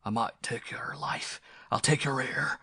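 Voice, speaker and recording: A man voicing a stern, deep-voiced ghost with a low, menacing delivery. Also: a little slow, pushed and bright, husky, no room sound, clean studio sound, full character voice.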